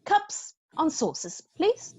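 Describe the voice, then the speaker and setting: A woman playing a brisk, unflappable, firm yet playful nanny, crisp and commanding, in a musical play read-through. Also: extremely high pitch, big pitch swings, a little mellow, a little hiss or hum, exaggerated voice. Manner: crisp, commanding, matter-of-fact.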